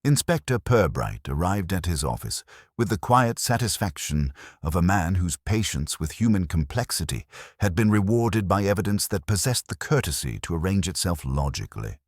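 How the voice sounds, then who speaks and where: A very low male voice narrates a scripted mystery with formal, measured phrasing. The delivery moves widely in pitch, and the sound is dry and close. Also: very animated, no echo.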